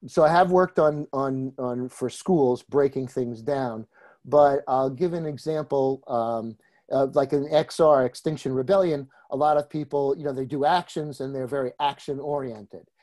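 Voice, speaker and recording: A man with a New York accent, a trainer speaking in a group discussion, pausing often with fillers. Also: mid-range voice, darkish tone. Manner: hesitant, many filler pauses. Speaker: empathy circle trainer.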